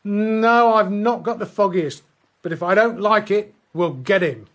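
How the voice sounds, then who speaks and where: A man's voice: high voice, measured pace, a bit bright. A man playing a blunt, commanding First World War army sergeant in a radio drama. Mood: wary, firm. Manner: barking, blunt orders.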